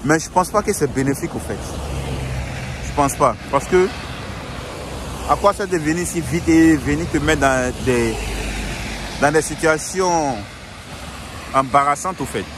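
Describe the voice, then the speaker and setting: A man's voice: fairly high pitch, measured pace, pauses often, background noise. A young man giving earnest, repetitive advice in a casual monologue, often restarting his sentences.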